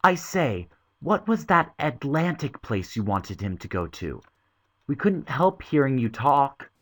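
A man's voice: mid-range voice, sing-song, unhurried, a bit bright, voice pushed up. A man voicing a matter-of-fact eldest boy in a storybook reading, decisive and a little curt. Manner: curt, plain-spoken.

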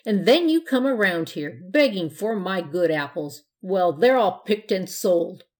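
A woman's voice: medium pitch, expressive, a little slow. A woman reads as a grumpy man from a storybook, full of suspicion and scorn. He scolds and snorts, yapping out his complaints. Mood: angry, scolding. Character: grumpy, suspicious, scornful man who begrudges trick-or-treaters. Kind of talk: storybook character voice in narration.

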